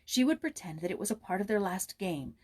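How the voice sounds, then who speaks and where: A woman with a mid-pitched voice narrates a children's book. Her read-aloud style is expressive, shaped for storytelling. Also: brisk, husky. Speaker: audiobook narrator.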